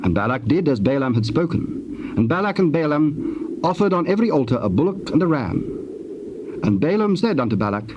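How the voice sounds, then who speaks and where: A man's voice: a little high, sing-song, normal speed, highs cut off. A man narrating dramatized scripture solemnly in archaic English. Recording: noisy old cassette tape.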